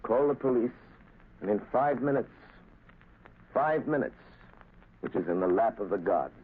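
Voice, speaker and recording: An actor playing a calm, confident crime-fighting hero in a 1930s radio drama, speaking in a firm, commanding way. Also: male voice, medium pitch, moderate pace, husky. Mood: steady. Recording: tinny, hissy old radio recording.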